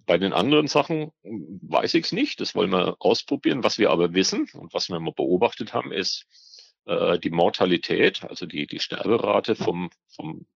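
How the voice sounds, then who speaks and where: A man's voice: low voice, gravelly, breathy edge, no echo, muffled recording. A man speaking as an expert guest in an interview, explaining hesitantly and often repeating words as he searches for the next. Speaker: expert guest, biologist on ornamental fish trade. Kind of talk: spoken interview answers, explanatory.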